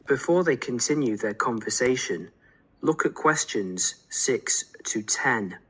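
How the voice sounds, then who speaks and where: A man reading formal scripted test instructions as an exam announcer, in a measured, instructional way. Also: mid-range voice, animated, fairly bright tone, breathy edge, low-quality recording.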